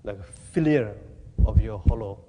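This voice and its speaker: A man from Tibet, giving a Buddhist teaching in halting, plain English with gentle humor. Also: on the low side, long reverb tail, noisy background. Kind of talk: dharma talk to an audience. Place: talk venue with audience.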